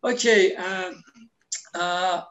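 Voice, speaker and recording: A man's voice: sits high, fairly even intonation, extremely slow, fairly bright tone, reverberant. A man, talking as a guest in a casual interview, hesitant with many fillers. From Turkey. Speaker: guest, language teacher.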